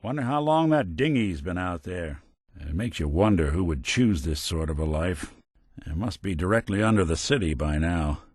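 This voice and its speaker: A man's voice: sits low, animated, moderate pace, a little mellow, husky, no echo. A man playing a world-weary, middle-aged android detective in a video game, with an American accent and a wry, hard-boiled way of talking. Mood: dry, sardonic. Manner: wry, dry, old-fashioned hard-boiled slang. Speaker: companion character in a video game.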